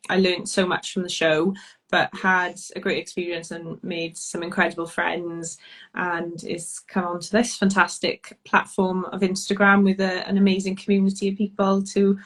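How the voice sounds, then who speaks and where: A woman's voice: on the low side, fairly bright tone. A woman with a Welsh accent, a guest chatting casually on a video call, talking freely with many ums and frequent laughs. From Wales. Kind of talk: casual chat.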